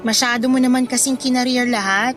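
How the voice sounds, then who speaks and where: A woman's voice: fairly high pitch, steady tone, fairly quick, lightly acted. A woman playing a modest, self-doubting teenage schoolgirl in a Filipino radio drama, speaking in an earnest, conversational, pleading way with a Filipino accent. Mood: concerned, questioning. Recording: music under the dialogue.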